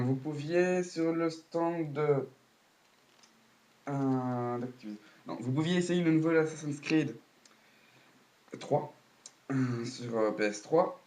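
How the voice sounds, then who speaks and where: A man's voice: medium pitch, a little mellow. A man with a cold giving a casual, hesitant spoken recap full of fillers and restarts. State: has a cold, coughing. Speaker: video blogger.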